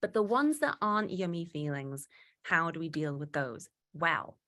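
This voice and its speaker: Lively young woman from Newcastle, workshop host on a video call. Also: low-pitched, normal speed. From Newcastle, England. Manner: lively, acts out quoted voices. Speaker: workshop facilitator. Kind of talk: online workshop talk.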